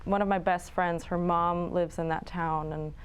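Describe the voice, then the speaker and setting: A young woman from New York and Florence, answering interview questions about her film in a relaxed, chatty way with fillers and small laughs. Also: fairly low pitch, moderate pace, smooth. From New York City and Florence. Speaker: filmmaker guest. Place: studio.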